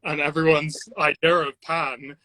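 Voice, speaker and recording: A man's voice: a little high, little pitch movement, measured pace, sharp tone, no echo, low-quality recording. A man who grew up in Trinidad, chatting casually as a guest and telling his story with many fillers and restarts. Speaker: guest, sound engineer and videographer. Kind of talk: casual chat on a video call. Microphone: Bluetooth headphones, then computer mic.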